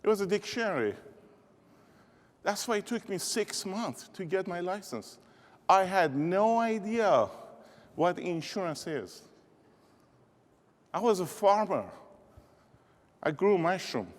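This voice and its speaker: Man with a Persian accent, motivational keynote speaker, rallying a crowd, fairly high voice, echoing hall. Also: sing-song, takes it slowly, pauses often. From Iran. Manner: rallying, call-and-response with audience. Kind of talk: energetic keynote speech. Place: large conference hall. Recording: reverberant hall.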